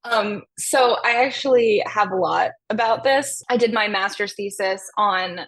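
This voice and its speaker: Husky woman, academic guest. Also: medium pitch, a little slow, fairly bright tone. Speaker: podcast guest, music professor. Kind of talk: conversational academic explanation.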